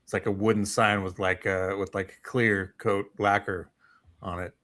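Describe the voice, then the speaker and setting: A man co-hosting a casual morning talk show, speaking in an informal, rambling way with halting phrasing. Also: on the low side, little pitch movement, pushed and bright.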